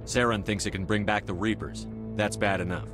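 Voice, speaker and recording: A man's voice: on the low side, steady tone, fairly quick, music in the background, close to an everyday voice. A man playing a serious, resolute military commander in a video game, firm and plain-spoken. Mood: urgent, firm.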